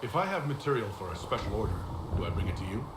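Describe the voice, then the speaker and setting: A man playing a calm, polite, inquisitive adventurer in a video game, asking things in a measured, courteous way. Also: fairly low pitch. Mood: calm, curious. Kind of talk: video game dialogue acting. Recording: roomy game audio, very noisy background.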